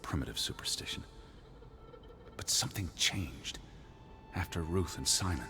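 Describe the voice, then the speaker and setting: An actor playing an anxious, bookish 1920s gentleman searching for his missing sister in a video game drama, speaking earnestly in precise, formal phrases. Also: low voice, animated, measured pace, over music. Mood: grave, brooding.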